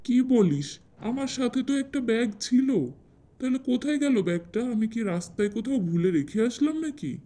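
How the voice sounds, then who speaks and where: A man's voice: very high-pitched, animated, fairly quick, dark tone. A man voicing an affectionate visiting elder brother and uncle in a cartoon. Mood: alarmed, distressed. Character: affectionate visiting older brother and uncle, polite village guest. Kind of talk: Bangla cartoon dialogue.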